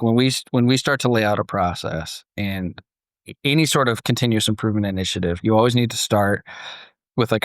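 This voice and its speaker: A man's voice: on the low side, no echo. Casual male podcast host, dry close sound. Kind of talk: casual conversational podcast discussion.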